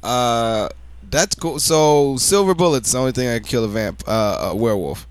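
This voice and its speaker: A man's voice: mid-range voice, animated, moderate pace, smooth, dry recording. A man co-hosting a podcast in casual banter, asking questions and joking crudely. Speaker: podcast co-host.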